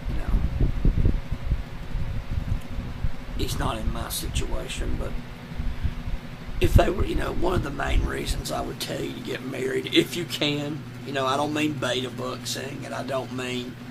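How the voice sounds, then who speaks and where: A hoarse man lying on the floor at home, talking slowly and despairingly in halting, trailing sentences. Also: medium pitch, lively intonation, very noisy. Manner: slow, halting, despairing, many trailing restarts. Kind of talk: casual personal vlog.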